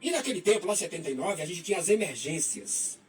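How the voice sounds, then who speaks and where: A man's voice: a little high, big pitch swings, quick pace. A man, hosting a radio talk show in a chatty, folksy manner. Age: 50s. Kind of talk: casual radio interview. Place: radio studio. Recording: distant mic, faint music underneath.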